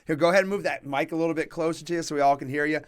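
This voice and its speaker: A man's voice: a little high, rapid-fire. A man hosting a company podcast, asking long, rambling questions in a friendly, casual way. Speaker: podcast host. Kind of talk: casual interview chat.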